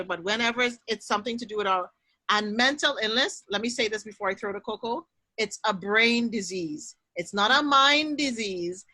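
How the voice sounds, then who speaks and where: Bright-voiced Trinidadian woman hosting. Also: medium pitch, dry recording. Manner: earnest, emphatic. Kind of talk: panel discussion.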